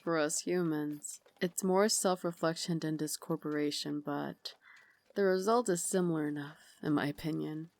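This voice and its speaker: A woman playing an elegant, caring and gently commanding Victorian vampire lady in an ASMR roleplay, speaking softly, formally and with doting refinement. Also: deep voice, steady tone, moderate pace, no echo. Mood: gentle, reflective. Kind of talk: ASMR roleplay, slow intimate scripted acting.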